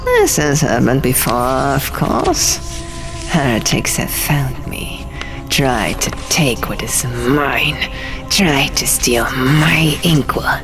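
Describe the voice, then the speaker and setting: Audiobook villain: female reader as menacing old male scribe, low, very deliberate, condescending, music underneath. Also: vocal fry, heavily acted. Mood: smug menace. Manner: slow, solemn, condescending. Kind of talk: audiobook character voice.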